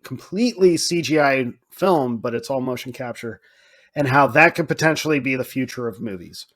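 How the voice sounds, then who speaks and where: A man's voice: mid-range voice, expressive, moderate pace, a bit bright. A man co-hosting a podcast, talking casually and thinking aloud as he goes. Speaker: podcast co-host.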